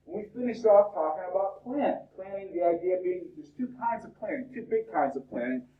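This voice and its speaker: A male instructor lecturing a university class in a lively, chatty way, peppering students with questions, his voice cracking. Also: high voice, a little fast, narrow, tinny audio. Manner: talkative, upbeat, fires questions at the class. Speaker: business instructor. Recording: echoey classroom from far off.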